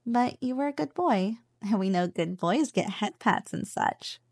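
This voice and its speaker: A woman's voice: mid-range voice, lively intonation, a little slow. A woman playing a playful, teasing, possessive slime girl roommate in an ASMR roleplay, coaxing and mock-dismissive. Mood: affectionate, praising. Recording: echoey reverberant sound, loud music behind. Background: loud background music.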